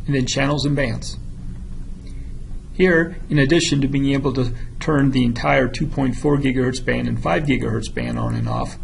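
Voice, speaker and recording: A man giving a methodical, instructional narration of a software tutorial, as a product demonstrator. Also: mid-range voice, gravelly, slight background noise, poor audio.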